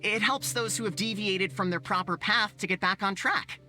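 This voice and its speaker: Woman voicing a bright, theatrical fantasy townsperson. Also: mid-range voice, normal speed, soft background music, lightly acted. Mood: explaining. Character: dramatic, polite, exasperated townsperson of a fantasy world. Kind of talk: video game voice acting.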